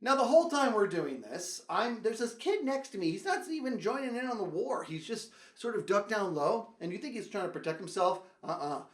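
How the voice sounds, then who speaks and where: A man's voice: high voice, sing-song, a little fast, hardly any pauses. A man hosting a comedic storytelling show, talking straight to viewers in a theatrical, exaggerated, emphatic way and repeating phrases. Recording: roomy echo.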